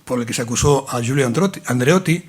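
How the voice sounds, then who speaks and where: A man's voice: mid-range voice, animated, fast talker, husky. A man speaking at a public colloquium, rambling through a long explanation with many restarts and fillers. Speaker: invited speaker. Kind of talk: lecture-style talk in a colloquium.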